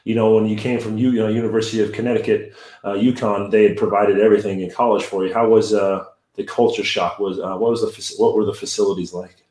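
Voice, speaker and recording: A male podcast host who is also a high school coach, asking long rambling questions with many restarts. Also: flat delivery, quick pace, darkish tone, roomy sound, low-quality recording. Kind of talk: podcast interview.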